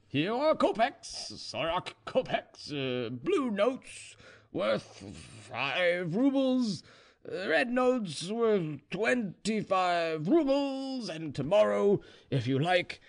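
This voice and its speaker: A man's voice: high voice, big pitch swings, slow, vocal fry, pitched above the performer's own voice, full character voice. A man voicing a drunk, boastful serf in a storybook reading, swaggering and defiant, with hesitant drunken phrasing. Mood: boastful, tipsy.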